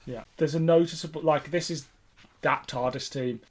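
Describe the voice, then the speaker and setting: A man's voice: a little high, fairly even intonation, moderate pace, breathy edge. A man co-hosting a podcast in casual, jokey, conversational fan chat. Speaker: podcast co-host.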